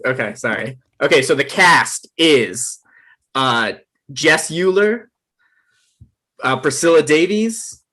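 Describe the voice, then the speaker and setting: A man's voice: a little high, a bit bright. A man hosting a table read, informally introducing the cast.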